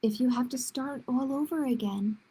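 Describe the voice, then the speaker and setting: A woman's voice: sits high, normal speed, warm tone, husky, low-quality recording. A woman hosting a children's storytime, reading picture books aloud in simple phrases with casual asides to her listeners. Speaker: children's librarian, storytime host.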